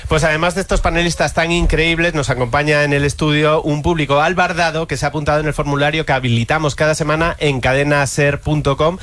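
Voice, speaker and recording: A man's voice: fairly high pitch, fairly even intonation, fairly bright tone. A man hosting a radio quiz show, introducing guests and explaining rules in an upbeat way. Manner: fast, upbeat presenter.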